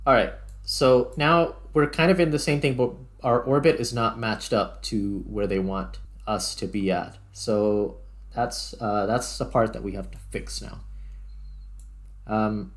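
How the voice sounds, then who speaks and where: A man's voice: lowish voice, measured pace. A man doing casual gameplay commentary, thinking aloud and talking through each step as he plays. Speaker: gaming video creator.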